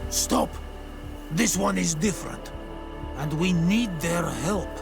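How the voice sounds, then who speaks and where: An actor playing an earnest, cautious native islander in a video game, with simple, careful phrasing. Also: male voice, high-pitched, takes it slowly, vocal fry, loud music behind the voice, exaggerated voice. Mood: cautious, questioning.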